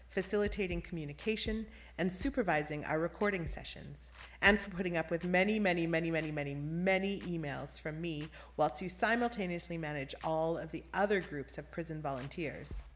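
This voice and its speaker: A woman's voice: lowish voice, slight background noise. A woman presenting a theatre podcast's credits, reading scripted thanks and announcements.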